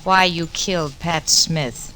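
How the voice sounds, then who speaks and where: Cool, bright-voiced femme fatale. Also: female voice, sits low, steady tone, slow, heavily acted. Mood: cool, menacing. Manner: clipped, commanding, seductive. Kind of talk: 1940s radio crime drama acting. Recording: noisy vintage 1940s radio recording.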